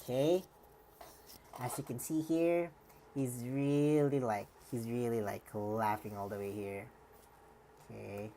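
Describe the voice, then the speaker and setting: A woman with a strikingly deep voice talks through a live stream, hesitant and rambling, full of uh, like and restarts. Her voice sounds a little worn, and a faint hiss sits under it. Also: steady tone, slow pace, pauses often, warm tone. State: slightly worn voice. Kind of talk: live-stream reaction commentary.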